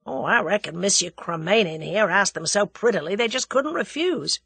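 A woman's voice: mid-range voice, animated, normal speed, vocal fry, fairly bright tone, breathy edge, no echo, exaggerated voice. A woman voicing a brash, cool-headed young American man in an audiobook, with breezy, commanding, slangy delivery. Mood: breezy, wry.

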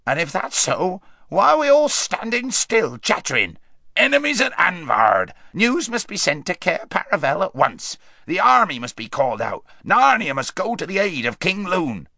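A gruff, bustling little red dwarf, as a male narrator puts him on, brisk, bossy and hearty. The voice is gravelly and husky, leaping high and low with bright force. Dry close recording. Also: sing-song, no echo, exaggerated voice. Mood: urgent.

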